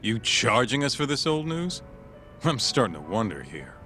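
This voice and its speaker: A man's voice: mid-range voice, very animated, normal speed, bright, forceful tone, faint music behind. An actor playing a blunt, brash tough-guy sidekick with slangy delivery in a video game scene. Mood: irritated. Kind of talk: video game cutscene acting.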